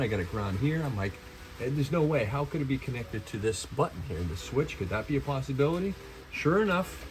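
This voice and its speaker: A man's voice: medium pitch, a little hiss or hum, poor audio. A man talking informally as the host of a DIY vlog, thinking aloud as he explains his work. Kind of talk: casual talk while working, explaining to viewers.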